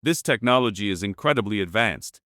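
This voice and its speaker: A man playing a solemn government spokesman giving a formal, measured, rhetorical address to the nation in a disaster drama. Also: lowish voice, lively intonation, bright, forceful tone, no room sound, studio quality. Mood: assured, formal. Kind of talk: formal dramatic televised speech.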